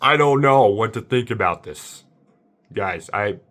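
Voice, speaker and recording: A man's voice: lively intonation, unhurried, fairly bright tone. A man rambling casually to viewers as an amateur impressionist, sounding bewildered and circling back over his points. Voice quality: slightly deep voice.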